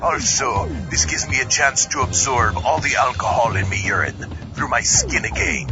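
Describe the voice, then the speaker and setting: Blustering, swooping pirate captain. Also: male voice, mid-range voice, huge pitch swings, normal speed. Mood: gleefully crude. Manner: blustering, theatrical, emphatic. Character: boozy, crude, blustering pirate sea captain. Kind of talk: comic audio drama acting. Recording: dull lo-fi recording under loud music. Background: loud music.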